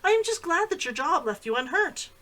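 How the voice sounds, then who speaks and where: A woman's voice: extremely high pitch, sing-song, a little fast, pushed and bright, voice pushed up, heavily acted. A woman reading a storybook part as a bossy noble elder sister, formal and reproachful. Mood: stern, scolding.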